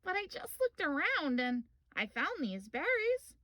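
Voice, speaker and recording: A woman's voice: extremely high pitch, very animated, measured pace, sharp tone, dry recording, studio quality, heavily acted. A woman voicing a curious, eager young boy bear cub in a children's storybook. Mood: curious, puzzled. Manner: wide-eyed, eager questioning. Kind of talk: children's storybook read-aloud.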